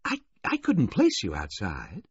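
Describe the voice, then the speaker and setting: A flighty, naive young woman, put on by a male narrator, pitched high with airy, breathless phrasing. The voice carries a husky grain. The recording is dry and dull. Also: huge pitch swings, a little slow, no echo, full character voice. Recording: dull lo-fi, dry close audio.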